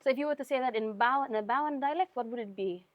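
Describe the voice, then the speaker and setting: A woman from Fiji with a Fijian English accent, hosting a casual interview with a band and asking questions with soft fillers. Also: a little high, fast, no echo, muffled recording. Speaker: podcast host.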